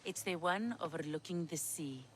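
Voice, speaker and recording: A woman playing a sly witch in a video game, with a deliberate, theatrical, coaxing delivery. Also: deep voice, slight background noise, natural voice. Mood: assured, scheming. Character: sly witch of Hecate peddling potions, slow, sultry. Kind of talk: dramatic video game acting.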